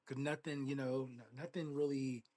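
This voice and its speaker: A man's voice: mid-range voice, steady tone, normal speed, darkish tone. A man co-hosting a podcast in casual chat, joking around, swearing freely and laughing often. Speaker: podcast co-host.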